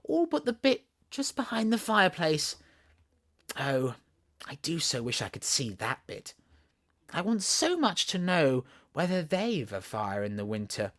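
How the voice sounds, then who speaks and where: A narrator playing an animated, imaginative little girl. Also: mid-range voice, measured pace. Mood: dreamy, wishful. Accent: Southern English. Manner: playful, wondering, coaxing chatter. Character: imaginative, chatty, bossy little girl, dreamy and playful.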